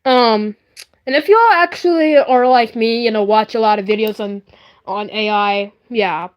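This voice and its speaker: A woman's voice: on the high side, measured pace, dull audio. A young woman chatting casually on a live stream in a rambling, hesitant way, often repeating herself.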